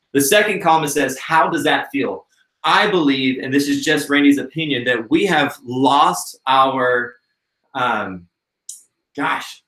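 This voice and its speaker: An earnest man in his late thirties coaches a workshop, talking in a friendly, encouraging way. His voice sits at an ordinary middle pitch. The recording carries a noticeable roomy echo. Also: fairly bright tone. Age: 30s. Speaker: business coach. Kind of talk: instructional workshop talk.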